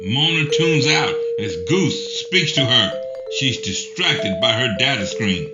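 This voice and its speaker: A man reading scripted narration in an even, announcer-like way. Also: medium pitch, slow pace, over loud music. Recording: dull, lo-fi sound.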